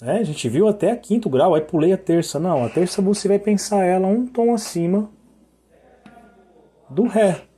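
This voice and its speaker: A hoarse man teaching musical intervals, singing note names to demonstrate them and checking in often with short questions. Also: high-pitched, normal speed, mellow. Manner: sings interval notes to show them. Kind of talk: sung and spoken music lesson.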